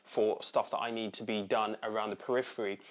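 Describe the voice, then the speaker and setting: A man's voice: fairly low pitch, flat delivery, brisk. A man, a channel host, chatting to camera and repeating words for emphasis. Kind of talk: casual talk to camera.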